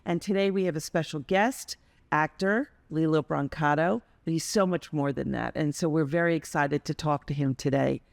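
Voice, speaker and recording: A woman's voice: low-pitched, moderate pace, vocal fry, dry recording. A woman hosting an interview, reading a scripted welcome and then asking questions with short encouraging replies. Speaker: host. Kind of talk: hospital podcast interview.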